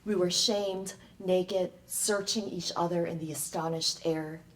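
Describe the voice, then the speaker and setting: A woman reciting poetry live with solemn, deliberate phrasing, recorded with faint room noise. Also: on the low side, steady tone, low-quality recording. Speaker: poet and performer in an ensemble. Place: public library event before an audience.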